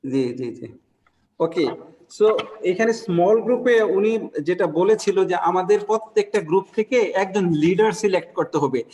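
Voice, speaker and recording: Fast-talking Bangladeshi man on a call. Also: high voice, dark tone, long reverb tail, poor audio. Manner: rapid, friendly, urging. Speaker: breakout group participant. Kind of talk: casual group discussion. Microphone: video call.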